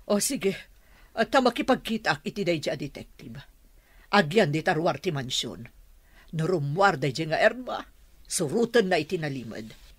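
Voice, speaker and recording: A woman playing a shrewd, suspicious middle-aged mother and mansion mistress in an Ilocano radio drama, with a husky voice. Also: deep voice, very animated, normal speed, dry recording, exaggerated voice. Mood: scheming, suspicious.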